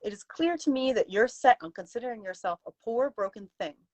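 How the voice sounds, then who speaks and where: A woman's voice: fairly high pitch, lively intonation, fairly quick, darkish tone, pitched above the performer's own voice. A woman voicing a stern, outspoken Victorian lady's companion with a prim, emphatic, reproving manner in a story reading. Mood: indignant, scolding. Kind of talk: live dramatic story reading.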